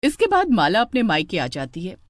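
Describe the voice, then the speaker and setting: Animated female storyteller, dry sound. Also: medium pitch, normal speed, dry recording. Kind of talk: scripted dramatic story narration.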